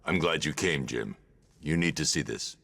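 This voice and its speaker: Brooding game vigilante, extremely low, gravelly creak, grave, terse. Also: male voice, animated, normal speed, a bit bright, a little hiss or hum, exaggerated voice. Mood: grim, grave. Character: Batman, grim masked vigilante man, terse and brooding. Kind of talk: cinematic video game acting.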